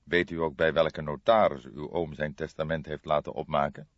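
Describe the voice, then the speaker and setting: A man reading an audiobook, voicing a calm, formal police detective with deliberate, composed delivery. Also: extremely low pitch, no room sound, dull audio. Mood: probing. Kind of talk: audiobook character voice.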